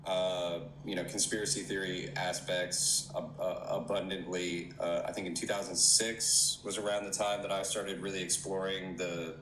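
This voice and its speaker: A man calling in to a live discussion, speaking in a halting, reflective way and searching for his words as he talks. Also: deep voice, level tone, a bit bright. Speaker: guest caller. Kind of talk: casual conversation. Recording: echoey room with faint background hum.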